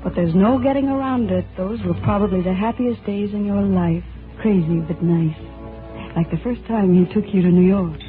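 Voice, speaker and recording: A woman's voice: medium pitch, normal speed, natural voice. Actress playing a wistful working-class young woman in love, 1940s radio drama, tinny broadcast sound, music behind. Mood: wistful, reflective. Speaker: actress narrating and playing lead.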